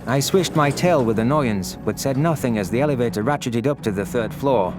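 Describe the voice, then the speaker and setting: A man's voice: mid-range voice, a little fast, music in the background. A man reading scripted first-person science fiction narration as a story narrator. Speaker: narrator of audio stories.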